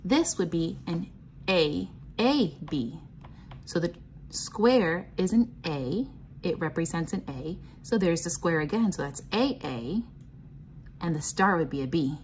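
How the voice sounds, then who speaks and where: A woman reading a picture book aloud to children and explaining it simply, in a sing-song, teacherly way with clear enunciation. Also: lowish voice, expressive, measured pace, darkish tone, a little hiss or hum. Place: indoors, at a table.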